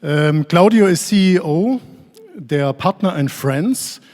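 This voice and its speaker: A man's voice: sits high, expressive, slow pace, a little mellow. A man hosting an event, formally introducing the next speaker on stage. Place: large hall. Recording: long hall reverb.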